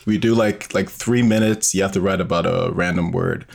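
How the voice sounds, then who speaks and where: A man's voice: deep voice, steady tone, normal speed, vocal fry. A young man talking casually as a guest in an interview, with plenty of conversational filler. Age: young adult. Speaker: guest, podcast co-creator.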